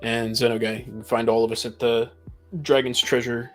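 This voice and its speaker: A man's voice: mid-range voice, little pitch movement, normal speed. Man chatting and explaining over music. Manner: explanatory, advice-giving. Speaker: podcast co-host. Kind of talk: casual group chat.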